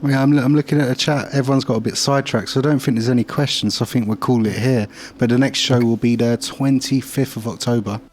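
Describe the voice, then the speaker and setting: A man's voice: medium pitch, fairly even intonation, fast talker, few pauses, darkish tone, faint music behind. A man hosting a show in a casual, conversational way. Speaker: show host and music producer. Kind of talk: casual chat. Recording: faint background noise.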